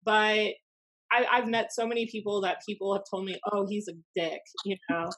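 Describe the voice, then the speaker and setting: An American woman in her thirties telling anecdotes casually, in a blunt, animated, sweary way, as a podcast host. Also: mid-range voice, normal speed, rough recording. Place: small room at home, indoors. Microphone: desk podcast microphone on video call.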